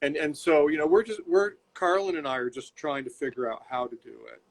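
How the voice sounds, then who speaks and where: A man's voice: fairly high pitch, lively intonation, fairly quick, slight background noise. An older man, a bootmaker, chatting casually in an interview over a video call, rambling and restarting his sentences. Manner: rambling, repeats words and restarts. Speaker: bootmaker and shop owner. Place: home workshop.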